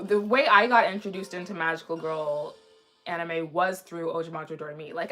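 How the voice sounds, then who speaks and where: A woman in her twenties chatting casually on a livestream in a rambling way, slightly raspy from a sore throat. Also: on the low side, a bit bright. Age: 20s. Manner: chatty, rambling, frequently restarting sentences. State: mildly sick with a sore throat. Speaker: anime livestream host. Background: background music.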